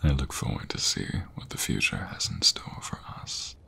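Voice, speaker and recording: Man acting very deep, whispering, lovestruck king. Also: lively intonation, pushed and bright, full character voice. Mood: tender, loving. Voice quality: breathy, gravelly, very deep murmur. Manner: slow, formal, archaic courtly phrasing, tender. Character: devoted young king secretly in love with a commoner. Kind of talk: romantic ASMR roleplay, intimate whispering.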